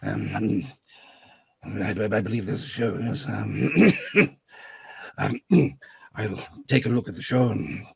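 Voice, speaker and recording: Man doing a quiet, doddering old librarian. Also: fairly low pitch, no room sound, heavily acted. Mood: drowsy, faltering. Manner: halting, mumbling, throat-clearing. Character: sleepy, doddering old librarian, feebly threatening frights.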